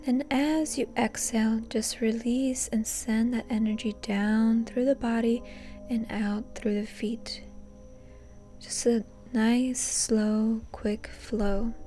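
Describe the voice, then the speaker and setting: A woman leading a guided meditation in a soft, breathy, often near-whispered voice, calm and gently instructing. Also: fairly high pitch, takes it slowly, faint music behind. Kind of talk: soothing guided meditation narration.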